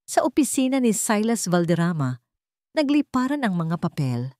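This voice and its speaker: A woman with a Filipino accent reading a scripted story as a narrator, in measured phrases with dramatic pauses. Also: medium pitch, big pitch swings, dark tone, dry recording, clean studio sound.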